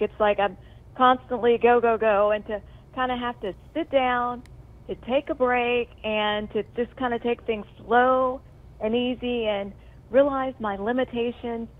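A woman talking casually and heartfelt over a phone line, effusive and rambling, with lots of emphasis. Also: a little high. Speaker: TV news presenter on medical leave, calling in. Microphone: telephone call.

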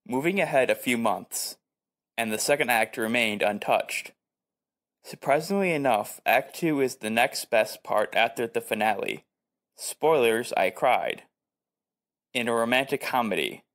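A man narrating a scripted audio review as a podcast host. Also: mid-range voice.

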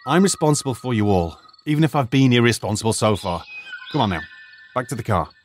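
Older professor-teacher type, lively rise and fall, calm explaining tone, played by a man for an audio drama. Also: mid-range voice, quick pace, faint music behind, natural voice. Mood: measured. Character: retired archaeologist turned high school teacher, lecturing and cautious.

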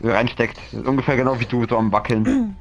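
A man's voice: mid-range voice, sing-song, quick pace, vocal fry. A man in casual chat with friends, clowning and making silly noises, over a headset. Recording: loud music behind.

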